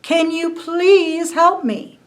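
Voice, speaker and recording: A woman's voice: very high voice, steady tone, very slow, pitched above the performer's own voice, exaggerated voice. A woman voicing a tiny, chubby, fuzzy female honeybee who pleads eagerly in long breathless run-on sentences. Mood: pleading.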